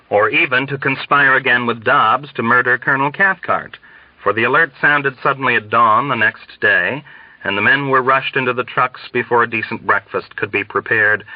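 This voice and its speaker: A man reading a novel aloud as an expressive audiobook narrator, on a somewhat dull recording. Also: fairly low pitch, no room sound. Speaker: audiobook reader.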